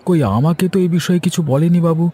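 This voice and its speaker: A man's voice: fairly high pitch, fairly even intonation, fast, dark tone, slightly rough. A man voicing a humble, trusting village fish seller in a fairy-tale cartoon, speaking respectfully and earnestly.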